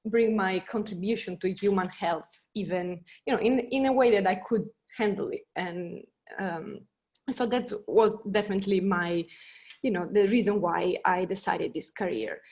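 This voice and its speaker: A woman's voice: mid-range voice, moderate pace, a little mellow. A woman from Italy with an Italian accent, a scientist speaking on a career panel in an earnest, searching way with many fillers and restarts.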